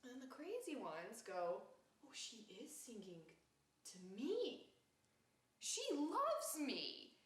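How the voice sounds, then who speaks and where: A woman's voice: a little high, wildly swooping pitch, takes it slowly. A woman singer whose first language is Japanese, chatting in an easygoing way with fillers and laughter. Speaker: singer, interview guest. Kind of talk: casual interview chat. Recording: echoey room, mic at a distance.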